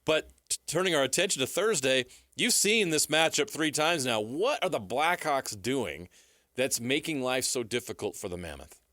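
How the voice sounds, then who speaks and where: Bright, lively male sports radio host. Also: mid-range voice, normal speed, no echo, clean studio sound. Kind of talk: conversational sports talk.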